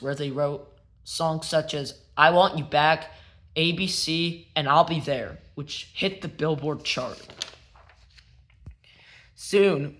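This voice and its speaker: Slow-paced man reading a story aloud. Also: on the high side, a bit bright. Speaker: narrator and show host. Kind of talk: read-aloud biography narration.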